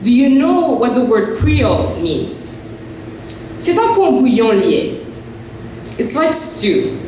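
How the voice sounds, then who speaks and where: A woman's voice: very animated, slow pace, a little hiss or hum. A woman from Haiti, whose first language is French, performing spoken word poetry with rhythmic, emphatic delivery. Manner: rhythmic, emphatic recitation, higher and more forceful when reciting. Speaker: poet. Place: large echoey festival hall. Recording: echoey hall recording from far off.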